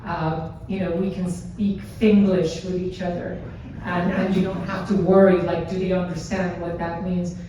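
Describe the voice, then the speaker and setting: A woman with a low, flat voice speaks steadily on a panel. She sounds far off in a very echoey hall, with clear noise behind. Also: moderate pace, hardly any pauses. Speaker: actor on a panel. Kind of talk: casual panel talk. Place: theater stage. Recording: echoey hall, distant mic.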